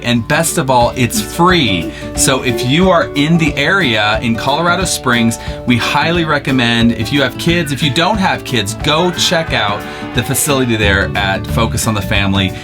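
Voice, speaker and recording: A woman's voice: very deep voice, animated, normal speed. A woman hosting a vlog, giving a casual recap to the viewers. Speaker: vlog host. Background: guitar music.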